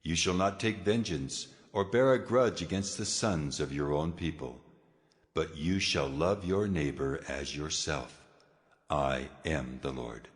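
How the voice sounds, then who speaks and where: An actor playing God as a solemn, measured, commanding lawgiver in a dramatized scripture reading. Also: male voice, extremely low pitch. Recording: faint hall reverb, music underneath. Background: music.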